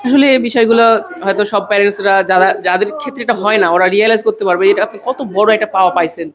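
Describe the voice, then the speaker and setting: A woman's voice: mid-range voice, rapid-fire, a crowd in the background. A woman hosting a casual interview, asking questions and offering affirmations. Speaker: podcast host, interviewer.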